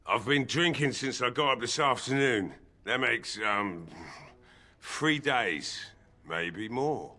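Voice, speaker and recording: A man's voice: mid-range voice, expressive, slow, sharp tone. A man acting a grieving, drunken, bitter village blacksmith in a fantasy video game, with a weary, sardonic drawl. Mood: despairing, bitter. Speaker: voice actor in a fantasy role-playing game.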